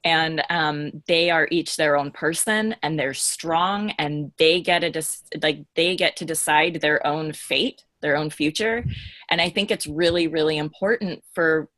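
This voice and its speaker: A woman's voice: sits low, unhurried, fairly bright tone, dry recording. A woman speaking as a podcast panelist in a casual, earnest discussion, making her points thoughtfully and with emphasis. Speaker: podcast panelist on a book series team.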